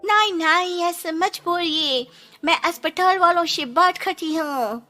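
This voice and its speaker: A woman playing a kind, selfless young daughter-in-law in an animated moral story, speaking earnestly and politely. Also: extremely high pitch, unhurried, faint music behind, full character voice. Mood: resolute.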